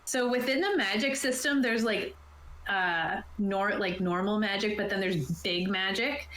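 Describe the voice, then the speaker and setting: A woman's voice: medium pitch, measured pace, a bit bright, a little hiss or hum. A woman game master casually explaining tabletop game rules in an informal, conversational way. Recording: roomy, echoey voice sound.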